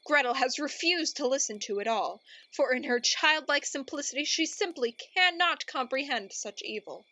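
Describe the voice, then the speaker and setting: A woman's voice: sits high, animated, moderate pace, sharp tone. A woman playing a melodramatic, easily exasperated narrator in a radio play, grandiose and theatrical. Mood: solemn. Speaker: narrator and host.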